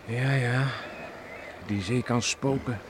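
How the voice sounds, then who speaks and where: Radio play: male actor as young farmer son, mid-pitched, steady, loyal, respectful; faint noise on vintage recording. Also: takes it slowly, lightly acted. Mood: reflective. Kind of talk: Dutch radio drama acting. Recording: slightly noisy old radio play recording.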